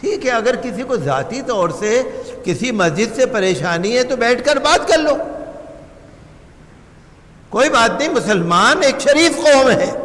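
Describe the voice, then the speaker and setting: A man's voice: extremely high pitch, a bit bright. A man preaching as an imam, in an impassioned, oratorical way full of repetition and rhetorical questions. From India. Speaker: imam giving a sermon. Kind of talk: impassioned sermon on public affairs. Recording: long hall reverb.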